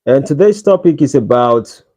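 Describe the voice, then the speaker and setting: A man's voice: mid-range voice, lively intonation, moderate pace, warm tone. A man from Nigeria with a Nigerian accent, hosting a casual livestream and pleading with viewers for likes. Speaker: livestream host. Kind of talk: casual live-stream chat. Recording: dull lo-fi livestream audio.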